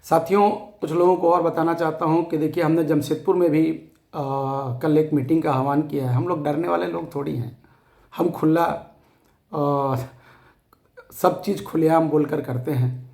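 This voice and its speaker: A man with a hoarse, scratchy throat giving an impassioned, defiant address to fellow depositors, repeating phrases for emphasis. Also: on the high side, fairly even intonation, normal speed, a little mellow, echoey room. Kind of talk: impassioned rallying address to followers.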